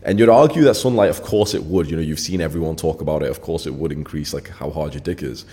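Low, mellow-voiced man in his twenties. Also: quick pace. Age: 20s. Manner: blunt, emphatic, swears freely, heavy filler words. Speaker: channel host. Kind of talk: casual straight-to-camera monologue.

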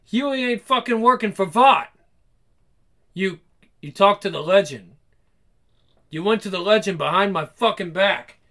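Man playing a raging comic-book hothead, high forceful voice, slangy, swearing, confrontational. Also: measured pace, long pauses. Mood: furious. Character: furious foul-mouthed man confronting a powerful enemy. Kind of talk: comic book read-aloud with character voices.

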